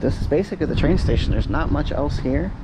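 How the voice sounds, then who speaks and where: A man's voice: fairly high pitch, animated, quick pace. A man in his forties giving a casual, upbeat walking tour of a small outdoor train platform, filmed on a handheld action camera. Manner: chatty, upbeat, narrates as he films. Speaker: travel vlogger. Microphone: action camera held at arm's length. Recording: loud wind and outdoor noise.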